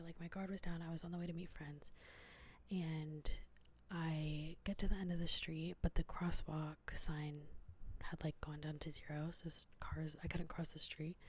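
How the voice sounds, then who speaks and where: Softly whispering young woman from the South. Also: lowish voice, a little hiss or hum. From Southern United States. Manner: soft whisper, hesitant, frequent "like" fillers. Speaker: vlogger. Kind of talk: whispered ASMR storytelling.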